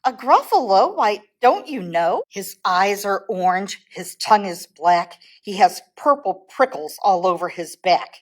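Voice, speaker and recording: A woman's voice: medium pitch, big pitch swings, unhurried, hardly any pauses. A woman voicing a plucky, sly little mouse in a children's storybook, polite, confident and teasing. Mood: boastful.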